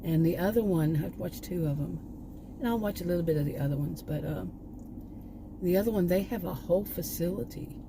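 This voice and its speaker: A woman vlogger chatting casually, meandering and restarting her sentences, her voice going hoarse. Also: fairly low pitch, brisk, noisy background. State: losing her voice. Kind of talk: rambling casual chat. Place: outdoors.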